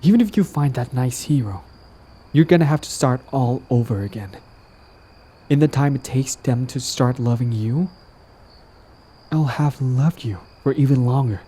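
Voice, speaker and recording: A man's voice: medium pitch, moderate pace, pauses often, warm tone, slightly rough, natural voice. A man playing a lovestruck, persistent villain in an intimate romantic audio roleplay, talking casually and coaxingly to the listener, smug yet earnest. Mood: hopeful, flirtatious.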